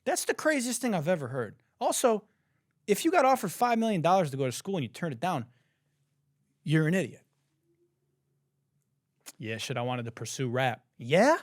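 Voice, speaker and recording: A young man hosting a podcast, ranting in disbelief with lots of swearing and laughter, a little hoarse. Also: a little high, big pitch swings, normal speed, pauses often, dry recording, studio quality. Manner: profane, laughing, incredulous ranting. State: hoarse, says he has lost his voice. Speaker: podcast host. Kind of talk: casual comedic commentary.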